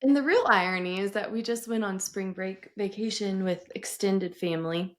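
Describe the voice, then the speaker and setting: A woman co-hosting a podcast, telling stories in casual chat with a naturally low voice and frequent laughter. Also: normal speed. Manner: laughs often, animated storytelling. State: recovering from voice loss, low voice. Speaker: podcast co-host.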